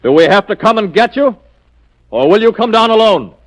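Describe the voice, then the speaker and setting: Firm, higher-voiced radio lawman. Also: animated, fairly quick. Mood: urgent. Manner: firm, urgent. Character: authoritative, earnest lawman leading a manhunt. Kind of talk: 1940s radio acting.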